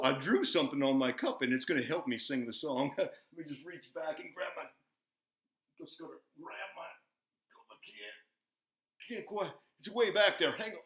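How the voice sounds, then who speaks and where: Casting: male performer as a high-voiced, excitable, bumbling farm buzzard hosting a kids' puppet show, folksy and repetitive, recorded in an echoey room. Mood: flustered. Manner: folksy, repetitive, easily flustered, giggly exclamations. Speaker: children's show host. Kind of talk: rambling children's show chat.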